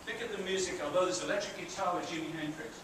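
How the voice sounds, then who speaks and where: A man's voice: high-pitched, quick pace. A middle-aged British man with a British accent, giving a chatty university lecture and asking the audience questions. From Britain. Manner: chatty, asks the audience questions, self-deprecating asides. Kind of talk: public lecture to students. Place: large theatre hall with an audience. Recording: very echoey hall, far-off and noisy.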